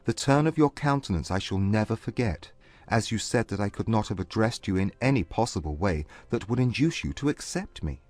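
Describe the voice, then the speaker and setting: Man playing proud romantic gentleman, low-pitched, very expressive, formal, earnest, contrite, period audiobook drama. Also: faint music behind. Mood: remorseful. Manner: formal, intense, measured period phrasing.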